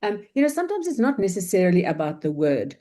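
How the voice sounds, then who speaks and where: A woman's voice: medium pitch, very animated, quick pace. A woman whose first language is Afrikaans, moderating and graciously wrapping up a panel discussion over a video call. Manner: warm, gracious, thankful, with soft fillers.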